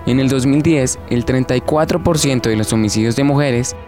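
A man's voice: medium pitch, brisk, darkish tone. A man with a Colombian accent reading a scripted, informative radio narration. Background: faint music.

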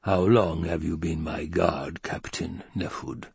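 A man voicing a menacing obese baron who speaks slowly in a silken tone and then roars. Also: sits low, a little mellow, breathy edge, no room sound. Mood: silken menace.

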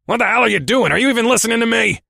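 A man voicing a gravelly, irritable boss in an audiobook, snappish and suspicious. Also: a little high, very animated, a little fast, bright, forceful tone, dry recording, studio quality, pitched above the performer's own voice, full character voice. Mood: angry growling. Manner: barking, snappish. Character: gruff, irritable, suspicious male boss, spiteful and grumbling. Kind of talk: audiobook character voice.

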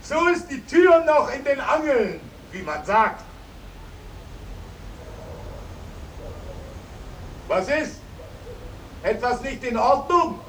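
An actor playing a proud, stiffly polite soldier sweetheart in a 1950s German radio drama. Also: male voice, very high voice, very animated, a little slow, a bit bright, full character voice. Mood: proud, hopeful. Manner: stiffly polite, speaks in third person. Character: Simon, formal, proud, courteous returning soldier sweetheart. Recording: roomy, distant 1950s radio recording, faint hiss.

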